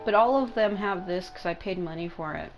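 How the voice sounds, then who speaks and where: A woman with a congested, mucusy throat doing casual, sweary game commentary, thinking out loud. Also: fairly low pitch, a little fast, low-quality recording. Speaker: gaming video host. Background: music.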